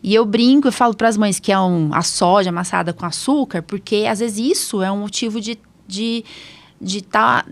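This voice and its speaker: A woman's voice: medium pitch, normal speed. A Brazilian Portuguese-speaking woman doctor hosting a casual podcast, explaining things informally and often checking in with short tag questions. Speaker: podcast host, allergist doctor. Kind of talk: casual conversational medical podcast.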